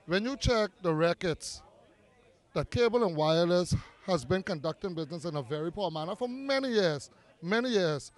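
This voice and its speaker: Bahamian activist guy, pretty high voice, keeps repeating stuff to push his point, outside at a park. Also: lively intonation, normal speed. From Bahamas (Grand Bahama). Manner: earnest, persuasive, piles up figures and repeats for emphasis. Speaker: community activist interviewee. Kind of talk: impassioned interview answers. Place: outdoor park at a demonstration.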